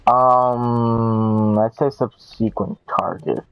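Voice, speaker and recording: A man's voice: mid-range voice, steady tone, extremely slow, creaky, lo-fi sound. A man giving casual, rambling, self-deprecating commentary while playing a video game. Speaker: let's play gamer.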